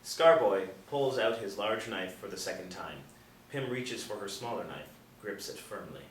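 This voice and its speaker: A man narrating stage directions at a screenplay table read in measured, dramatic storytelling phrases. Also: fairly low pitch, animated. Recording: echoey room, distant, faint noise.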